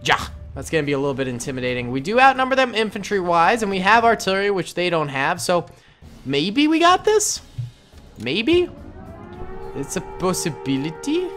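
Playful young man gaming commentary, lively pitch. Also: moderate pace, soft background music. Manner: playful, jokey slang. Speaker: gaming YouTuber. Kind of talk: casual gameplay commentary.

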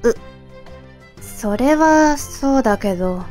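A woman's voice: fairly high pitch, expressive, slow pace, a little mellow, faint music behind. A woman playing a grumbling young shrine maiden in an anime-style fan voice drama, with dry, exasperated retorts.

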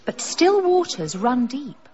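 A woman's voice: sits high, big pitch swings, takes it slowly, mellow, muffled recording, full character voice. An actress playing a dreamy, suspicious gossip of a woman in a radio drama. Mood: insinuating.

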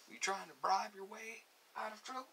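A man playing a stern, folksy army sergeant in an ASMR interrogation roleplay, questioning a prisoner in halting, repetitive colloquial phrases. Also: high-pitched, gravelly. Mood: probing, suspicious. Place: small cluttered room, indoors. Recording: hissy home recording.

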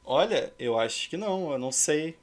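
A man's voice: fairly high pitch, lively intonation, moderate pace, crowd noise. A man in his thirties with a Brazilian accent, chatting casually and ironically as he hosts and commentates a game stream. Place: indoor room at home.

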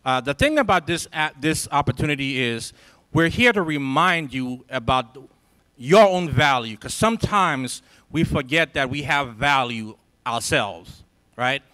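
A man in his forties from Liberia speaks into a handheld microphone before a packed college lecture hall. He talks at an ordinary pitch in a rousing, preacher-like rhythm, with repeated phrases for emphasis, and the sound is dry despite the big room. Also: expressive, measured pace, sharp tone. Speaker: symposium speaker. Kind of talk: motivational speech to students.

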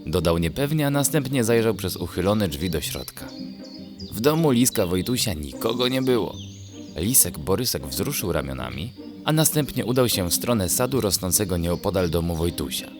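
A man gently narrating a scripted children's bedtime story in a measured, descriptive way. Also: low voice, big pitch swings. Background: music.

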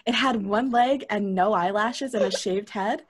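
An actress playing a whiny, fed-up young twin sister in an audio drama, complaining and sighing. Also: female voice, medium pitch, a little slow, husky. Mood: wistful.

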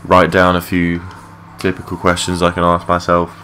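A young man with a low voice giving rambling, matey, sweary gameplay commentary in a casual unscripted way. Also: completely flat delivery, normal speed, sharp tone. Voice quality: low-pitched voice. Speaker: gaming video creator. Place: his room at home. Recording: clearly noisy home mic recording.

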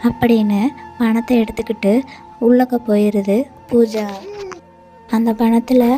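A woman narrating a cartoon story in an even, storytelling manner. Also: a little high. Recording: light music bed.

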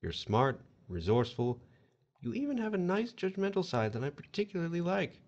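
A man's voice: on the high side, very animated, moderate pace, darkish tone, dull audio. Man playing a suave, swooping afterlife agent. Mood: smooth, stern. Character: suave formal male afterlife agent, clever and judging. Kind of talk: audio horror drama acting.

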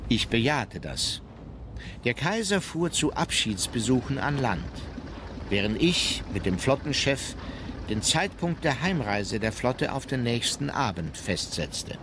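A man's voice: medium pitch, big pitch swings, a little slow, noisy background. An actor playing a composed German admiral who recounts his memoirs in a formal, restrained, factual way. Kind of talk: scripted memoir narration in audio drama.

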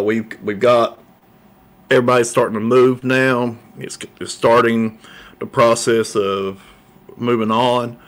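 A man's voice: medium pitch, fairly bright tone. Rambling man talking sports. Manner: rambling, repeats words and fillers. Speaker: local sports show host. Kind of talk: casual sports talk monologue. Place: small room set up as a coffee shop, indoors. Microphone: large studio mic on a boom arm.

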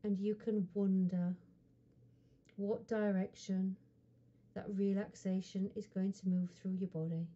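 Very dark, mellow woman guiding hypnosis. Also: medium pitch, flat delivery, highs cut off. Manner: soothing, unhurried, with frequent pauses between short phrases. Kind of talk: guided hypnosis relaxation script.

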